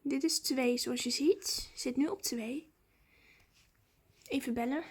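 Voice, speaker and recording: A woman. She talks like a vlogger, in casual, excited chat aimed at her viewers. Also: sits high, takes it slowly, husky.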